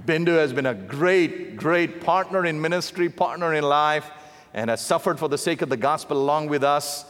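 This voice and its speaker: Indian-accented preacher in his fifties, slightly high voice, lively delivery, reverberant sanctuary. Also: moderate pace. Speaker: missionary preacher. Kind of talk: sermon. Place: large church sanctuary. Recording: long reverb of a big hall.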